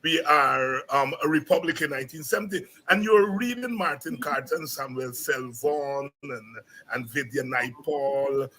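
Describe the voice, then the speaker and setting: A man's voice: medium pitch, normal speed. A man in his sixties from Guyana with a Guyanese accent, reflecting as a guest on an interview and often repeating words as he starts a thought. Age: 60s. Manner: animated storyteller, repeats words when starting. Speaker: guest, university lecturer.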